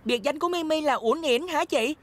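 A woman's voice: high-pitched, a little fast, close to an everyday voice. A woman voicing a polite, friendly young schoolboy for a cartoon dub. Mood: friendly, curious.

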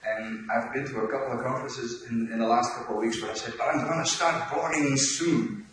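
A Dutch man in his forties with a Dutch accent, giving a relaxed, joking conference talk in a large lecture hall. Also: medium pitch, fairly quick. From Netherlands. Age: 40s. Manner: joking, self-deprecating, chuckles often. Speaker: conference speaker. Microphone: room mic, speaker walking around stage. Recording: echoey hall, voice from far off.

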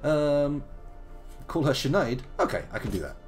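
A man in his thirties, gravelly from a slight cold, chatting playfully through a live game stream. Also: on the high side, sing-song, moderate pace, soft background music. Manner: chatty, playful, wandering asides. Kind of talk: casual live game commentary.

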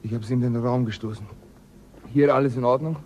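A man's voice: mid-range voice, fairly even intonation, brisk, a little mellow, a little hiss or hum, lightly acted. An actor playing a sober, practical spaceship crewman with a dry, matter-of-fact way of talking in a radio play.

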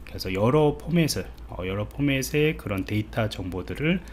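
A male instructor talks through a screencast tutorial in an informal, step-by-step way. His medium-pitched voice moves up and down in a lively manner, and he often checks in with listeners. There is faint background noise with keyboard typing. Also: normal speed.

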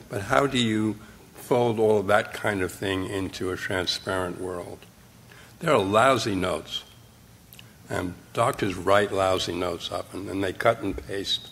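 Older male keynote speaker, low and gravelly, blunt and wry, podium mic in a conference room, faint noise behind. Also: fairly bright tone. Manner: blunt, wry, punchy short phrases. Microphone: podium mic, filmed from the audience.

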